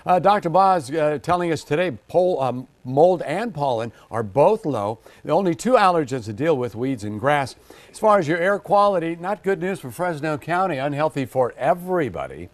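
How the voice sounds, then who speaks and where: A man's voice: fairly high pitch, sing-song, normal speed. An American man presenting a live morning TV weather forecast in a conversational, informal manner.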